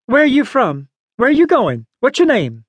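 Storybook old lady, played by a male reader: pitched well up, tart, bossy, quick to scold. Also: huge pitch swings, husky, no room sound, heavily acted. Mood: brisk, bossy. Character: sharp-tongued, bossy, indignant little old lady. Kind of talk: storybook character voice.

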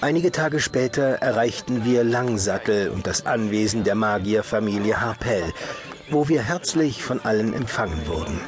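An actor playing a grave, determined dark elf hero who narrates a German fantasy audio drama in a dramatic scripted style. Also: male voice, fairly low pitch, normal speed, music in the background, lightly acted. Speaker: narrator and lead character.

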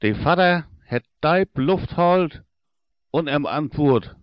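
Very slow-talking man with Low German accent. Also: lively intonation, smooth, no room sound, lo-fi sound. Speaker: audiobook narrator. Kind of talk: scripted fairy tale narration for children.

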